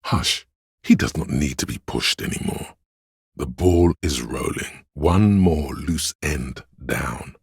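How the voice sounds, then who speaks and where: A man voicing a brooding inner voice that narrates a police drama in a game, with a very deep, gravelly, husky delivery, measured and solemn. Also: no room sound, studio quality, full character voice. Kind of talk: literary scripted narration.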